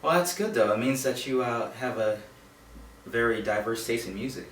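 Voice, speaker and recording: A man's voice: mid-range voice, fairly even intonation, moderate pace. A man interviewing someone in a casual, agreeable, informal way, feeling his way through his questions. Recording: roomy echo, distant mic, faint noise.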